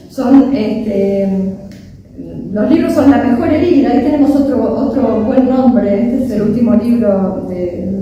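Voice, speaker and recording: A woman interviewer with an Argentine Spanish accent who hesitates and fills pauses with "este", asking curious questions. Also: a little high. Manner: hesitant, lots of "este" fillers, warmly curious. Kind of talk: conversational interview. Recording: echoey, distant and dull with faint noise.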